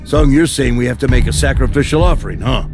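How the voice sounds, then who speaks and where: A man's voice: medium pitch, fast, over music, lightly acted. A man voicing a blunt, self-preserving hunter in a dramatic game cutscene, emphatic in his delivery. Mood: accusing. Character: accusatory, self-preserving male hunter, frightened family man.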